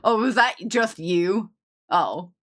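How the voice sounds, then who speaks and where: A woman's voice: mid-range voice, lively intonation, slow pace, a bit bright. A woman hosting a stream, chatting playfully and laughing easily. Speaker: streamer host. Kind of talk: casual stream chat.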